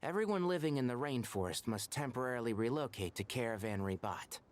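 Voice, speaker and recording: An actor playing a composed young forest watcher and mentor in an anime-style video game, articulate and matter-of-fact. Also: male voice, medium pitch, expressive, brisk, lightly acted. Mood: serious, explanatory.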